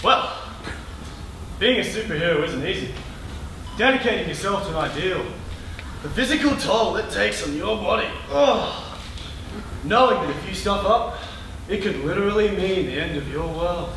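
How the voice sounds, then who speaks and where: A man's voice: high-pitched, animated, normal speed, long pauses, fairly bright tone. A man on stage playing a beleaguered superhero archer, an ordinary bloke telling his story with frantic, exasperated drama. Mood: world-weary, dramatic. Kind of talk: live comedic stage acting. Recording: echoey noisy hall from far off.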